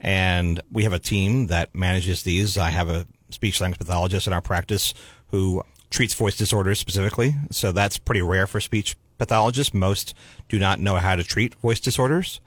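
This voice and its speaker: A man speaking as a throat and voice doctor, giving calm, measured, clinical explanations in an interview. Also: low voice, slightly rough, no echo. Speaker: otolaryngologist guest.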